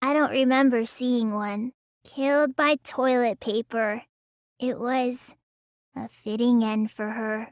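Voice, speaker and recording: A woman's voice: on the high side, steady tone, slow, dry recording, clean studio sound. A woman voicing a petite, whiny teenage girl mage in a video game, with a childlike voice and a sluggish manner. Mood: matter-of-fact. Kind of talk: English video game voice acting.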